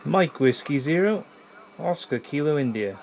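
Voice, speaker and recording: A man talking casually and in a measured, easygoing way as an amateur radio operator. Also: on the high side, lively intonation, creaky. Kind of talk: casual ham radio chat with call signs. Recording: faint radio hiss in the room.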